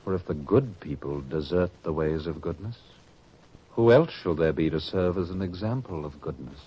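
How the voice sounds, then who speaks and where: A man's voice: deep voice, animated, moderate pace, breathy edge. A man playing a grave, principled disciple of Jesus in an old radio drama, with theatrical, formal diction. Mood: grave, earnest. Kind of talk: 1940s radio drama acting. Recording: dull old radio recording.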